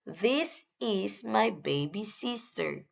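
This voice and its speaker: A woman narrating a scripted English lesson for young children, reading short simple phrases in a deliberate, instructional way for repetition. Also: medium pitch, lively intonation, creaky. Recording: dull, lo-fi sound.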